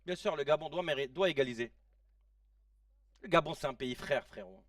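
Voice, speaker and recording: A man in his late thirties hosting a casual live stream, reacting excitedly to a football match in slangy chat and shouting at the players. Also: fairly high pitch, moderate pace, no room sound, studio quality, recorded from a distance. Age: 30s. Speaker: live-stream watch-along host.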